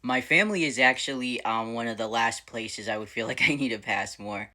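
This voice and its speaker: A man in his thirties with a fairly high, bright voice that has a crisp edge. He talks to camera in a casual, bubbly way. His storytelling is animated and lively. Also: a little fast. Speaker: vlogger answering viewer questions.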